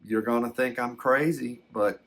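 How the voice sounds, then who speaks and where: An older man, telling a personal story in a halting, reflective, folksy way. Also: mid-range voice, a bit bright. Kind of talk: slow first-person storytelling.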